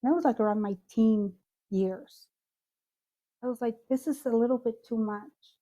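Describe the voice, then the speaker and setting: A woman from Mexico talks openly as a guest on a casual podcast. Her voice sits fairly high, and she tells her story with animated swings, dropping in Spanish phrases now and then. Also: moderate pace.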